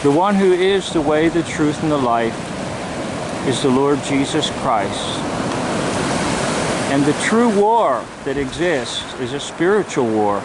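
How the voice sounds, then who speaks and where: Slow deliberate delivery, medium pitch, preachy vlogger monologue, short emphatic phrases, outdoor seashore, handheld camera mic, very loud wave noise. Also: very animated. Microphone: handheld camera microphone. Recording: loud surf and wind-like noise. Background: ocean waves.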